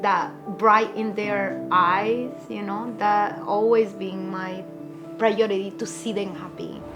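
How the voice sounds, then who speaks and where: Salvadoran-accented woman, halting and heartfelt. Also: medium pitch, fairly even intonation, over music. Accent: Salvadoran Spanish. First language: Spanish. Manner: halting, searching for words, soft laughs. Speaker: interviewee. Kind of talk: personal documentary interview.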